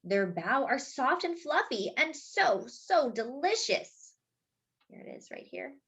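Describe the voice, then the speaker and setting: A woman with a high, lively voice reads a picture book aloud to young children. She takes her time, lingering on important words with exaggerated emphasis. Between sentences she adds short, simple explanations for her listeners. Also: slow pace, breathy edge. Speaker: children's story time reader and host.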